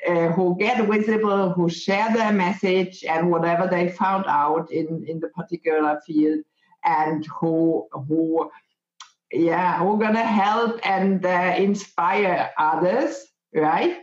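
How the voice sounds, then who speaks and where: Halting host in a roomy, dull recording. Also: medium pitch. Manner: halting, searching for words. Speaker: coach and interview host. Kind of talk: reflective interview talk.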